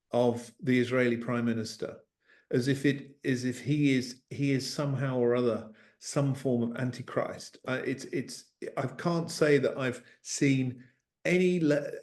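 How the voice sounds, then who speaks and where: A man puts a question to a guest at a talk, halting and restarting as he goes. His voice sits at an ordinary middle pitch. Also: darkish tone. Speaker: interviewer.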